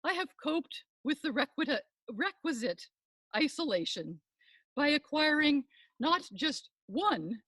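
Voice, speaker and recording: An older woman with a high, widely swooping voice plays a pompous professor. She delivers a scripted comic monologue in florid, deliberate academic phrasing. The sound is dry and close, with little room to it. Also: sing-song, fairly bright tone, no room sound, poor audio. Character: pompous older female professor hosting a podcast. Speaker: monologue performer, podcast host.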